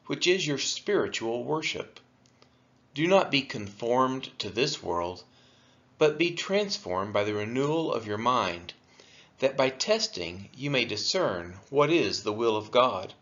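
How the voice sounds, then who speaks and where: Man reading scripture aloud, animated pitch. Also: lo-fi sound. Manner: deliberate, phrase-by-phrase delivery with pauses. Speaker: scripture reader for a church. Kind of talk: measured scripture read-aloud.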